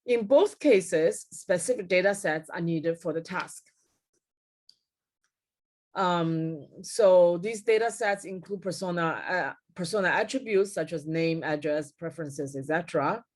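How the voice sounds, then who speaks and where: A middle-aged woman giving a technical keynote lecture over slides on a video call, pausing often with fillers and correcting herself mid-word. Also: on the low side, darkish tone. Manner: frequent fillers and self-corrections. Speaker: keynote speaker. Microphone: webcam at desk, video call.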